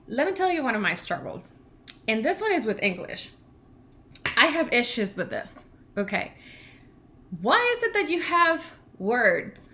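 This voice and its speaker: A woman in her thirties from Venezuela with a Venezuelan Spanish accent, whose first language is Spanish, chatting casually and rambling on a livestream as a language channel co-host. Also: fairly high pitch. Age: 30s. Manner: chatty, rambling, laughs often, grows animated when ranting.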